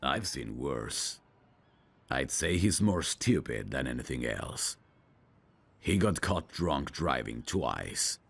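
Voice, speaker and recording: A man acts out a gruff veteran police detective in an audio drama, with an extremely low voice full of gravel. He drawls slowly and sardonically, a tough, world-weary cynic. The husky delivery still rises and falls expressively. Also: very animated, exaggerated voice. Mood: dry, matter-of-fact. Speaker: detective mentoring a rookie. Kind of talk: scripted roleplay audio drama.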